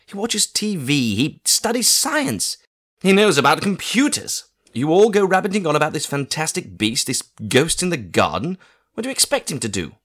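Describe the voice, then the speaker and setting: A man's voice: on the high side, very animated, a bit bright, pitched above the performer's own voice. A man reading an audiobook, voicing a bossy, proud middle-aged aunt with a scolding, emphatic delivery. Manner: scolding, emphatic, slow stressed words.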